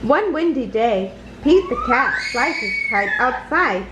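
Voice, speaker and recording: A woman reading a children's picture book aloud in a deliberate, expressive storytelling manner. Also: fairly high pitch, heavy background noise. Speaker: storybook reader.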